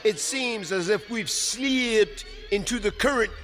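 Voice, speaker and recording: A man's voice: high-pitched, takes it slowly, music in the background. A man acting as a theatrical, mystical wrestler and spirit guide in a video game cutscene, speaking in grandiose, solemn phrases.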